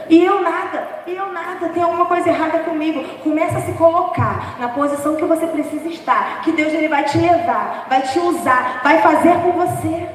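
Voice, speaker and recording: A young woman with a hoarse, high voice preaching a chatty, joking sermon. She is heard from a distance in a big church hall with long, ringing echo and a faint murmur of the room behind her. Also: a little hiss or hum. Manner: chatty and humorous, laughing at herself. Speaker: preacher from the dance ministry. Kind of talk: informal sermon. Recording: echoey church hall from far off.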